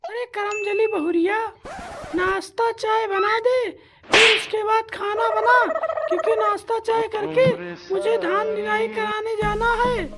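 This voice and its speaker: A woman's voice: very high voice, steady tone, unhurried. A woman playing a bossy older village mother-in-law who nags and insults her daughter-in-law in a comic sketch. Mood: scolding. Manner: nagging, insulting, commanding. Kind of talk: comic family sketch acting. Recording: loud backing music.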